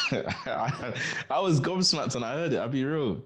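A man's voice: mid-range voice, sharp tone, husky. Laid-back man on a podcast. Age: 30s. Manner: laid-back, laughs a lot, repeats phrases. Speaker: podcast co-host. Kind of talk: casual chat. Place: small home studio, indoors. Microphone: studio mic on arm, headphones.

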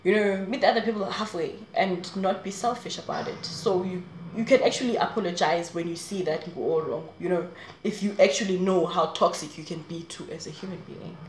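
A woman's voice: on the low side, fairly even intonation, a little fast, reverberant, slight background noise, low-quality recording. A woman in her twenties talking straight to camera in a casual, rambling advice vlog, laughing often. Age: 20s. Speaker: vlogger. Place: small room indoors.

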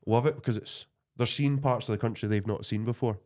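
A man's voice: medium pitch, brisk, darkish tone. A Scottish man, a farmer guest on a podcast, telling stories in easygoing casual chat.

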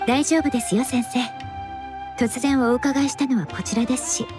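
An actress playing a composed young woman in an anime game, polite, precise and gently matter-of-fact. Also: sits high, normal speed, over music, lightly acted.

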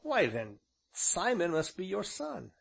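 Male reader as young gentleman, stubborn, formal, composed, firm, lively pitch, audiobook hero. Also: slow pace, gravelly, slightly rough, full character voice. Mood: curious. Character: calm, stubborn, well-spoken young gentleman.

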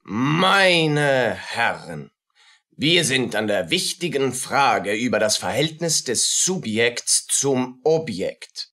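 An actor playing a callous, pompous doctor who declaims pedantically to students in a German radio play. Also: male voice, medium pitch, very animated, slow, sharp tone, heavily acted. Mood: pompous, lecturing.

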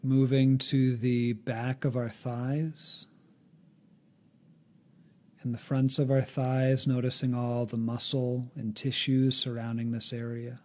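A man narrating a guided meditation in a calm, gently instructive way, leaving long pauses between phrases. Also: mid-range voice.

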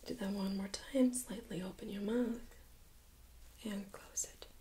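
A young woman speaks in a soft, breathy, whispery voice, gently and politely giving step-by-step instructions in an ASMR medical role-play. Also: medium pitch, fairly even intonation, a little slow. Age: young adult. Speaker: ASMR performer playing a medical examiner. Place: small indoor studio room.

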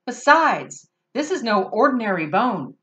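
A woman's voice: on the high side, very animated, measured pace, fairly bright tone. Storybook stranger, male, sly and coaxing, lifted and showy, read by a woman. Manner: coaxing, sing-song. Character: sly wandering male stranger, grinning cook.

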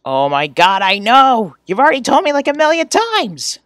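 A woman's voice: fairly high pitch, sing-song, normal speed, noisy background, pitched above the performer's own voice. A woman voicing a goofy, earnest teenage boy from a webcomic, playful and exclamatory, in an amateur character reading. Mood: excited, teasing.

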